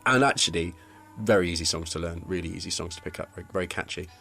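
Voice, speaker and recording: Male actor in relaxed interview, low voice, faint music underneath. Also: fast, creaky. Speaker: actor interviewed behind the scenes. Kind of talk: casual interview chat.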